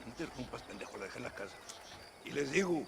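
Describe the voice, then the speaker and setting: A threatening Mexican bandit in a Western game. His speech is quick, lively and heavily gravelled, over outdoor noise. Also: mid-range voice. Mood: menacing. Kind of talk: video game cutscene acting. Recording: clear outdoor background noise.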